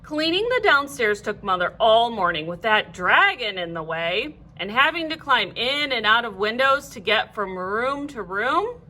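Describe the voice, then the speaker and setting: A woman reading a children's storybook aloud like a teacher, in an animated, clearly phrased way. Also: on the high side, measured pace, slight background noise.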